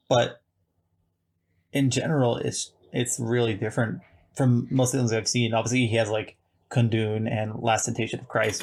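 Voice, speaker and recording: A man's voice: mid-range voice, gravelly. A man hosting a podcast, talking casually about films in a rambling, chatty way full of restarts. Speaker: podcast host. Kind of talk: casual film discussion.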